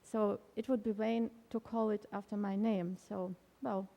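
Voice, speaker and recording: Gravelly-voiced woman, hesitant. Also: mid-range voice, little pitch movement, a little slow, lo-fi sound. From Germany. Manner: hesitant, many fillers, wry. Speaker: panel author. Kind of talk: panel discussion. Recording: reverberant hall.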